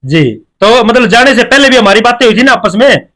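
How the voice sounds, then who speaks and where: A man's voice: extremely high pitch, big pitch swings, brisk, bright, forceful tone. A man, a video reporter who questions callers on the phone and keeps repeating affirmations. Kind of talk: phone interview.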